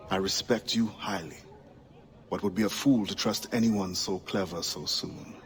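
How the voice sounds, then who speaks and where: An actor playing a proud, guarded assassin who was once enslaved, speaking in a grave, formal, wary way in a video game cutscene. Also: male voice, low-pitched, moderate pace. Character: proud, guarded freed-slave assassin, adult man.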